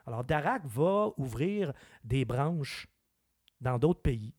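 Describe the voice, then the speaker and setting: A man with a Québécois French accent, a car expert chatting on a radio show in an opinionated, animated way. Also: mid-range voice, takes it slowly, no echo, studio quality. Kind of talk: casual chat.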